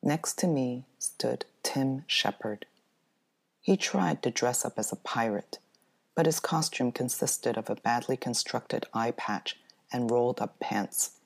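A woman author reading her own spooky story as dramatic scripted narration, in a measured, deliberate manner. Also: extremely low pitch, animated, creaky, breathy edge.

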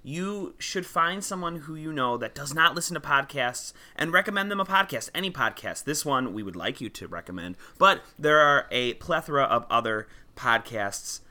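A man's voice: fairly high pitch, lively intonation, normal speed, hardly any pauses, bright, forceful tone. A man co-hosting a film podcast, chatting casually and playfully. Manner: playful, breaks into goofy old-timey voices. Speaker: podcast co-host.

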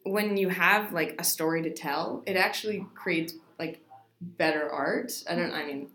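Woman interviewer; affirming, casual, prompts the guest; very low voice in an echoey room. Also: measured pace, a bit bright. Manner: affirming, many backchannels.

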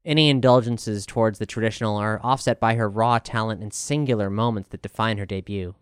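Clear-voiced man reading a music review. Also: fairly low pitch, fairly quick, no room sound, clean studio sound. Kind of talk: scripted narration, music review.

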